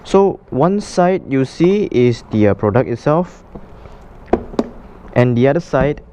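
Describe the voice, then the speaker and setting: A man presenting a casual, unscripted product unboxing for a vlog, explaining things in a halting way. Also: mid-range voice, very animated, noisy background.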